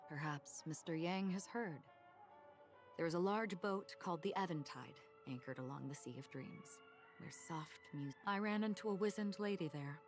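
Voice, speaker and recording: An actress playing a calm, world-weary wanderer in a video game, telling of her travels in measured, solemn storytelling. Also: on the low side, close to an everyday voice. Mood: calm, reflective. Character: calm, solemn, world-weary wandering woman recounting what she has seen. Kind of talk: scripted video game dialogue. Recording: music behind the voice.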